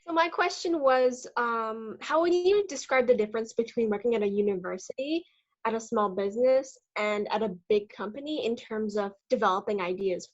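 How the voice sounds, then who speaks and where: A teenage girl with a fairly high voice puts a careful, polite question to a guest speaker. Her audio comes through muffled and lo-fi over a video call. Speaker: student asking a question.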